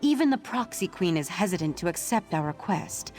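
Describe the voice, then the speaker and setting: A woman's voice: on the low side, very animated, fairly quick, darkish tone, soft background music. A woman playing an earnest, worried ally in a video game, plain-spoken and conversational. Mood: worried, exasperated. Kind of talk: scripted video game dialogue.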